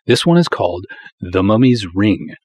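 A man's voice: lowish voice, very animated, moderate pace, darkish tone, no echo. A man chatting casually as the host of a podcast. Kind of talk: casual chat.

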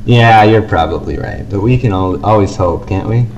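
A man's voice: lowish voice, normal speed, darkish tone, muffled recording, a little hiss or hum. A man co-hosting a fan podcast in casual, easygoing conversation. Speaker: podcast co-host.

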